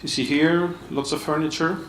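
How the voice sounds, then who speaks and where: A man giving a conference panel talk, halting with restarts and many uhs. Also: fairly high pitch, steady tone, a bit bright. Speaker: panel speaker, architect. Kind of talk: conference presentation. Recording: echoey hall, mic off at a distance, faint room noise.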